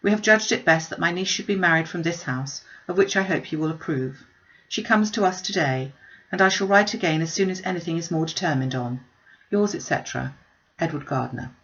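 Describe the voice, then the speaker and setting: A woman narrates a classic novel as an audiobook. Her voice is on the low side, with plenty of creak. The recording is slightly dull and muffled. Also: brisk, a bit bright. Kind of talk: reading a classic novel aloud.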